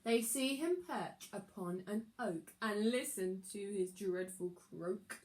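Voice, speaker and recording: A woman reading a children's rhyming storybook aloud as a teacher would, with expressive, sing-song, deliberate delivery. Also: mid-range voice, low-quality recording.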